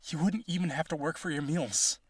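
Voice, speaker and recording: A man's voice: a little high, brisk, breathy edge, no echo. A man playing a rich, devoted vampire aristocrat in a roleplay audio, earnest and pleading, with gushing, hesitant speech. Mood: devoted, coaxing.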